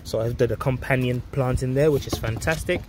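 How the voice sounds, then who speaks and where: A man's voice: mid-range voice, brisk. A man in his thirties giving a casual, enthusiastic update to viewers, speaking emphatically into a handheld phone outdoors. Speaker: vlog host, allotment gardener. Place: outdoors on an allotment garden. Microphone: handheld phone at close range. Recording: outdoor ambient and traffic noise. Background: outdoor ambience, vehicle noise.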